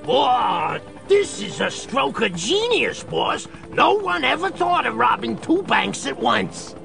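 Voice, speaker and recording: A man's voice: very high-pitched, big pitch swings, slow pace, vocal fry, over music, heavily acted. An actor playing a whiny, brash small-time gangster henchman in an audio drama, in a cartoonish manner. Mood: fawning.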